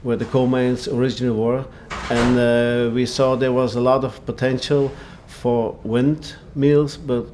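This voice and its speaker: A man who is a former coal miner, speaking haltingly in a documentary interview. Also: medium pitch, flat delivery, background noise. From Belgium. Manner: halting, searching for words. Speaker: former coal miner, energy cooperative founder.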